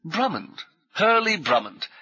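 A man's voice: sits high, very animated, unhurried, bright, forceful tone, husky, dry recording, pitched above the performer's own voice, full character voice. A man voicing a jovial, bossy former cavalry officer who speaks in swift, clipped bursts, recorded dry and a bit dull. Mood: hearty.

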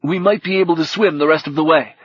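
A man voicing a panicky teenage boy who shouts and pleads in a high, strained voice. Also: animated, normal speed, husky, no room sound. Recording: dull lo-fi cassette audio.

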